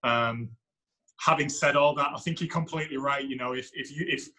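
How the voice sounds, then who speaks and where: A man in his thirties, a soccer coach, with a deep voice and a non-American accent, answering interview questions in a reflective, thinking-aloud way. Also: fairly quick, bright, forceful tone, rough recording. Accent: foreign to the United States. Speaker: women's soccer head coach.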